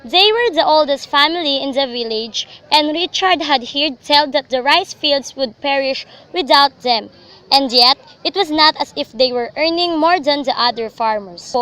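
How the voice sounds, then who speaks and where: A woman's voice: high-pitched, sharp tone. A young woman reading scripted narration for a radio drama in a storytelling style. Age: young adult.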